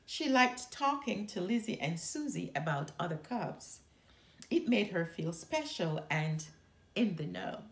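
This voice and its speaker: Unhurried, lively woman reading a storybook. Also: fairly low pitch. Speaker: storyteller reading a children's book aloud. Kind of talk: expressive read-aloud narration. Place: living room by a fireplace. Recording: roomy indoor echo.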